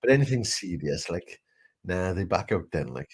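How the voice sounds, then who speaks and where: A man's voice: deep voice, expressive, normal speed, husky. A man co-hosting a casual chat show, teasing his partner with stammering repeats. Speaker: co-host.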